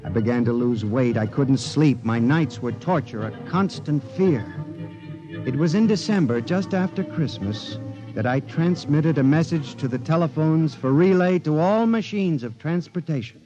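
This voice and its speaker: A man's voice: a little high, expressive, normal speed, music in the background, close to an everyday voice. An actor playing a frantic man who believes machines are alive, in 1950s radio drama. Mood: grim. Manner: narrates then pleads in rising panic. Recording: dull old radio broadcast.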